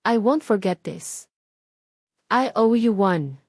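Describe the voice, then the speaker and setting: A suave boss from a romance storybook, a man voiced by a woman narrator, speaking smoothly and tenderly with a calculating streak. The recording is dry. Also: medium pitch, animated, slow pace, a little mellow, no echo. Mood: grateful, tender. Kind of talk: storybook character dialogue.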